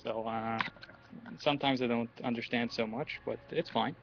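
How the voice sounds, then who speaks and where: A man's voice: medium pitch, steady tone, moderate pace, gravelly. A man from Norway, a writer and guest on a livestream, chatting politely and cheerfully. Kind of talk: casual chat. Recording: dull lo-fi call audio with faint music.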